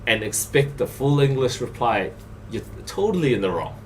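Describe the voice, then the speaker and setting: A man's voice: mid-range voice, sing-song, moderate pace. Guy from south korea giving blunt emphatic opinions on a podcast, bit far from mic, faint noise. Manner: blunt, emphatic, repeats points. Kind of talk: casual opinionated podcast talk.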